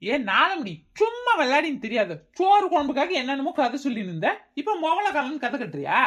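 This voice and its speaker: A woman voicing a contemptuous village neighbor who taunts in a much deeper voice. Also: big pitch swings, fairly quick. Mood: taunting.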